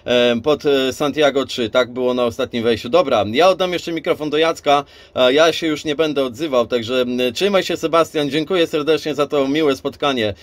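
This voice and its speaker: A man's voice: medium pitch, normal speed, hardly any pauses, dry recording. A man chatting casually as an amateur radio operator, rambling a little and repeating himself. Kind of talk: casual radio contact chat.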